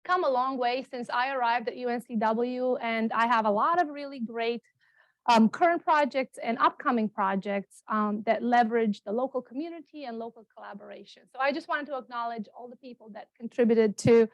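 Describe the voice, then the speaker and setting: A woman's voice: fairly high pitch, brisk, no room sound, rough recording. Chatty Romanian-born woman lecturing, dry sound. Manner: chatty, self-correcting, with many ums and repeats. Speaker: guest speaker, geography professor. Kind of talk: public lecture. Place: meeting room, also streamed on Zoom. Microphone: standing microphone, not held.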